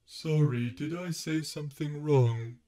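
Male reader putting on a glum droning robot, slow and sorry for himself, audiobook. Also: on the high side, dark tone, pitched above the performer's own voice, close to an everyday voice. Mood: weary, despondent. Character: gloomy, self-pitying male robot. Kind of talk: audiobook character voice.

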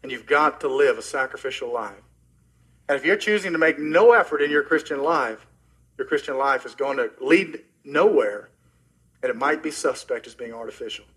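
A middle-aged man preaching a sermon as pastor, earnest and personal, often dropping soft and low at the ends of phrases. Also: moderate pace, slightly rough. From Oklahoma, US.

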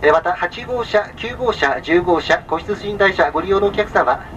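A man's voice: high voice, lively intonation, a bit bright, distant mic. A man working as a train conductor, making a formal, polite announcement over the public address speaker of a sleeper train. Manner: formal, polite, measured listing with small filler sounds. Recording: muffled train PA from afar, vehicle noise.

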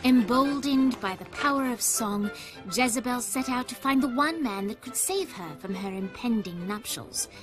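A woman voices a storybook narrator for a video game. Her delivery is measured, formal and slyly tongue-in-cheek, in a raised pitch, with music clearly playing behind. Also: lively intonation, natural voice. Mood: wry, storytelling. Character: prim, wry female storybook narrator.

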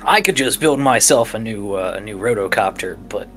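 A man's voice: lowish voice, expressive, moderate pace, a bit bright, faint music behind. A man voicing an irritable, folksy tinkerer who grumbles in slang in a tabletop roleplaying game. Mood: grumbling. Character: gruff, irritable tinkerer who builds drones.

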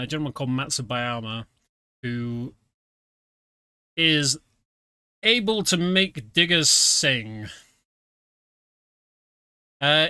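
A British man streaming a game, explaining it in a relaxed, filler-heavy casual commentary. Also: mid-range voice, clear, resonant tone, no room sound, studio quality. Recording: game music behind.

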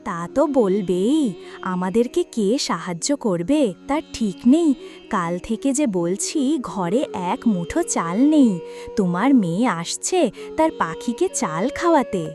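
A woman's voice: medium pitch, sing-song, unhurried, a little mellow, faint music behind. A woman voicing a scolding, worn-down housewife and mother in a cartoon, with a nagging, complaining manner. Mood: bitter. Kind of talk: cartoon dialogue acting.

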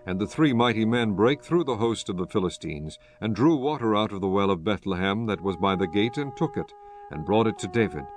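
A man narrating scripture from a script in a measured, formal manner. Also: fairly low pitch, expressive. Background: faint music.

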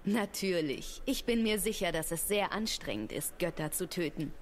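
A gracious female leader from a video game, played by an actress, speaking with formal courtesy. Her voice is a bit low, over faint noise. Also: animated, moderate pace, close to an everyday voice.

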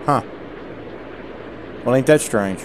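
Middle-aged man calling tower clearances. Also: medium pitch, takes it slowly, noisy background. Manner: drawling casual asides between calls. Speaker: gameplay streamer. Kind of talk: air traffic control radio calls with asides. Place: small home room indoors.

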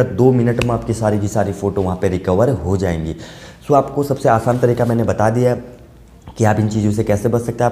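Young male tech YouTuber, casual and talkative, mid-pitch voice with a warm timbre, echoey room. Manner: chatty, gesturing with both hands, mixing in English words. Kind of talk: casual tech explainer to camera. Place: small bright room indoors, seated at a desk.

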